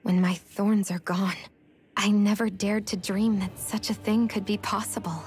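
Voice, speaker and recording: Woman acting a slow-speaking, vulnerable fantasy maiden. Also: mid-range voice, flat delivery, a little mellow, soft background music, lightly acted. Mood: tender, wistful. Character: vulnerable, guarded young cursed maiden in fantasy game. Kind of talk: dramatic video game cutscene acting.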